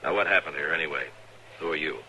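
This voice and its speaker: An actor playing a stern, weary American frontier marshal, terse and dry, in an old-time radio western. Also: male voice, very deep voice, fast talker, bright, forceful tone, slight background noise, exaggerated voice, rough recording. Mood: firm, calm. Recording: vintage radio recording.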